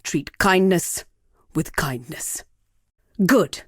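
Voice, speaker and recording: Haughty, low-voiced seductive villainess. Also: female voice, animated, a bit bright, breathy edge, no echo, clean studio sound, full character voice. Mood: wry, bitter. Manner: haughty, theatrical, deliberate and taunting. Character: cruel, seductive villainous sorceress taunting her enemies. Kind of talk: dramatic video game character acting.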